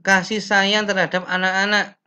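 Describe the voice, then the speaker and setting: A young man from Indonesia, a teacher, reads lesson slides aloud at a slow, measured pace, pausing and filling gaps with "eh". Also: high-pitched, level tone, sharp tone, clear, resonant tone. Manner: slow, measured reading with pauses and fillers. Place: indoor room.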